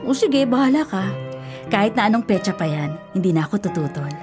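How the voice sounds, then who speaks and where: A woman's voice: mid-range voice, big pitch swings, brisk, music in the background, lightly acted. A woman with a Filipino accent playing an agreeable, sensible fiancée in a radio drama. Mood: conciliatory.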